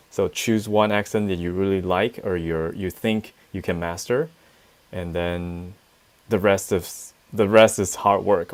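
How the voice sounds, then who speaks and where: A Taiwanese man with a Taiwanese accent, co-hosting a relaxed English-learning chat and answering viewer questions thoughtfully. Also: sits low. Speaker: co-host. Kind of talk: casual English teaching chat.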